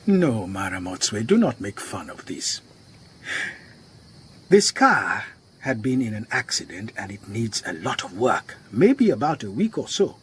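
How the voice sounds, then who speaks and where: An actor playing a worried, earnest garage owner in a radio drama, with a Southern African accent, speaking gravely and deliberately. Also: male voice, lowish voice, very animated, slight background noise. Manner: grave, deliberate, repeats phrases for emphasis.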